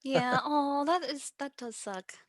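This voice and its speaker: A young woman with a Texas accent, a musician chatting as a guest in a relaxed interview, bubbly and giggly. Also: high voice, takes it slowly, no room sound, clean studio sound. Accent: Texan. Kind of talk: casual interview chat.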